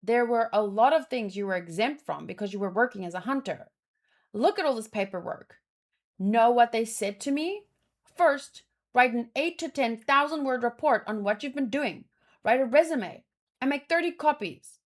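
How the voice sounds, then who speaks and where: A woman reading dialogue aloud in an informal, expressive way, mixed with casual commentary of her own. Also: fairly high pitch, normal speed. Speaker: manga read-along host.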